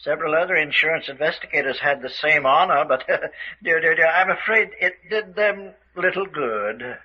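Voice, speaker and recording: A man's voice: fairly high pitch, moderate pace, husky. A man acting an older, genteel villain who rules a castle, smug and coolly menacing, taunting with dry chuckles in an old-time radio mystery style. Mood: cold, mocking. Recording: dull, lo-fi radio drama recording.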